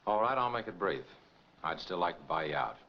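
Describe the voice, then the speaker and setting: An actor playing a rival trucking operator, falsely friendly and pushy with veiled menace, in 1940s film noir style. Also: male voice, low-pitched, big pitch swings, a little fast, heavily acted. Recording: muffled, noisy 1940s film soundtrack.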